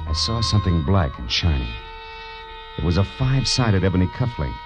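A man playing a tough, wisecracking American private detective in 1940s radio drama style, with clipped, slangy delivery. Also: low voice. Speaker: lead actor and first-person narrator. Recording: dull vintage radio recording with music.

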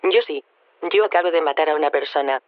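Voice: medium pitch, fast, no room sound, phone-quality sound, close to an everyday voice. An actor playing a cold, menacing artificial mind that looks down on humans, speaking in a detached, precise way in an audio drama. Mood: chillingly calm. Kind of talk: audio drama acting as a radio interview guest.

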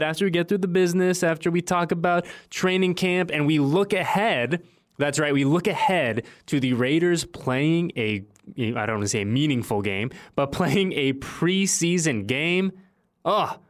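A man with a mid-pitched voice hosts a sports podcast. His delivery is conversational and upbeat. He leans on key words and likes to restate a phrase for emphasis.

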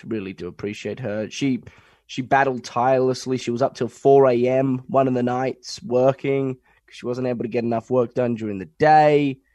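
A young man co-hosting a podcast from home, stuffy and nasal from a cold, talking in halting starts with many fillers. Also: mid-range voice, a little mellow, no echo, clean studio sound. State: congested, nasal. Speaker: podcast co-host. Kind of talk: casual chat.

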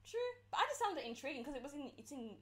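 A woman's voice: high-pitched, racing. A woman, chatting casually with friends and laughing as she tells stories. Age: 20s. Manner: animated, laughs while telling stories. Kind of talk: casual chat among friends.

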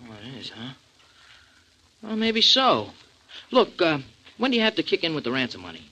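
An earnest, slangy American sidekick from a 1940s radio crime drama. His voice sits fairly high and swings widely up and down. The tone is bright and forceful, with streetwise, rough grammar. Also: wildly swooping pitch, moderate pace, heavily acted. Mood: puzzled, frank. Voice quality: streetwise, ungrammatical slangy delivery. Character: slangy, earnest small-time sidekick in a jam. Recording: vintage 1940s radio recording.

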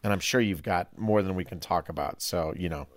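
A man's voice: sits low, brisk, no echo. A man hosting a casual, conversational podcast interview. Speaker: podcast host.

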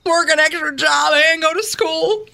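A woman's voice: extremely high pitch, measured pace, gravelly, sharp tone, voice pushed up, full character voice. A woman playing a sad, anxious, pleading Southern mother in a melodramatic comedy scene, tearful and cloying. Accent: American South.

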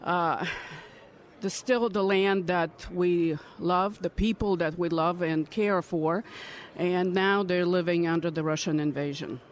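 A woman in her fifties from Ukraine, giving earnest, measured interview answers at a political conference. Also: on the low side, fairly even intonation. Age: 50s. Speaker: congressional candidate.

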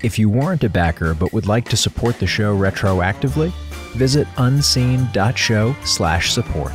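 A man's voice: fairly low pitch, lively intonation, normal speed. A man, a producer reading scripted podcast credits and addressing listeners. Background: music.

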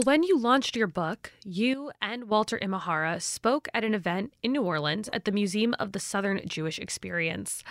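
A woman's voice: mid-range voice, a bit bright, dry recording. Woman radio host, dry close sound. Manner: measured, inquisitive questioning. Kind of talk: public radio interview.

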